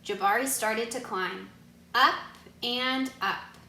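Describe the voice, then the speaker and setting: A woman reading a children's storybook aloud expressively, pausing to put questions to her young listeners. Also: fairly high pitch, vocal fry, bright, forceful tone. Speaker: read-aloud narrator, teacher asking listeners questions. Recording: echoey room.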